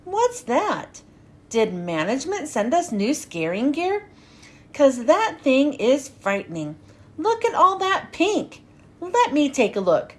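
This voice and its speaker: A woman's voice: high-pitched, wildly swooping pitch, slow pace, heavily acted. A woman voicing a nosy, chummy male monster coworker in a children's storybook. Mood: curious, mocking. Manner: chummy, inquisitive.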